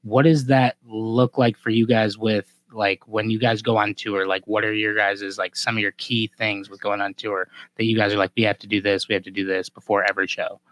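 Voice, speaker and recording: A man's voice: lowish voice, flat delivery, a little fast, few pauses, a bit bright, dry recording, low-quality recording. An American man co-hosting a podcast, chatting casually in loose, informal phrasing. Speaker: podcast co-host. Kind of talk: casual chat.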